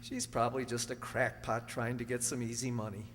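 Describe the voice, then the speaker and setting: A man playing an excitable accused prisoner in an amateur radio play, recorded at a distance in a reverberant hall. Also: mid-range voice, a little fast, a little hiss or hum, lightly acted. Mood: puzzled, dismissive. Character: excitable accused prisoner protesting his innocence.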